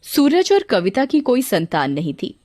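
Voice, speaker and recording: A woman's voice: on the high side, wildly swooping pitch, moderate pace, a little mellow, no echo, clean studio sound. A woman reading a scripted story narration for children.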